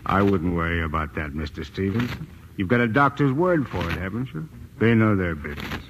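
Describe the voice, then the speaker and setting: An actor playing a mock-polite, calmly threatening crime boss in a 1940s-style radio drama. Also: male voice, low voice, brisk, rough recording. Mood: sly, insinuating. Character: smooth, menacing male crime syndicate boss. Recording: old radio recording, faint noise.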